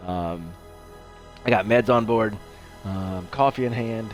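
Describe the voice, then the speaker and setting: A man's voice: on the low side, slow pace, soft background music. A man with a congested, sniffly head cold, giving casual, rambling game commentary as the host of a livestream.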